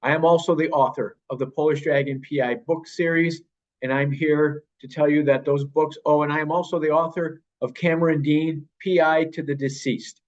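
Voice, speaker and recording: A man's voice: fairly high pitch, steady tone, moderate pace. A man introducing a show as its host, addressing listeners directly. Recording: slightly dull, lo-fi voice recording.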